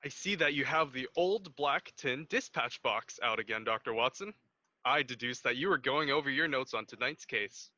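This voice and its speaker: A man's voice: mid-range voice, animated, moderate pace, bright, forceful tone, no room sound. A man playing a courteous, inquisitive announcer in a 1940s-style radio play. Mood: curious. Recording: dull, dry lo-fi audio.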